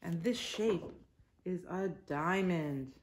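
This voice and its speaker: A woman's voice: on the low side, slow. A woman in her forties reading aloud like a teacher at children's storytime, stressing key words and adding little asides to the listeners. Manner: simple phrases with emphasized words and asides to children. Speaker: teacher reading a picture book to young children. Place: living room at home, indoors.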